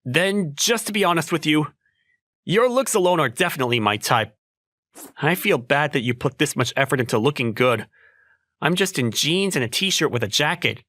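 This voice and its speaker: A man's voice: fairly high pitch, lively intonation, normal speed, fairly bright tone, no echo, studio quality. A man playing an awkward, modest young working man in a romcom manga dub, musing dryly and hesitantly about himself. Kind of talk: manga dub voice acting with inner monologue.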